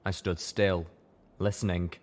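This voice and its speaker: A man narrating a first-person fantasy audiobook in a steady storytelling manner. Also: sits low, a little slow.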